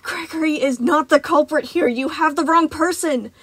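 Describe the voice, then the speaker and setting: A woman's voice: sits high, moderate pace, a little hiss or hum, voice pushed up. A woman voicing a desperate, pleading robot bear. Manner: pleading, yelling. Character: big male animatronic bear, protective and desperate.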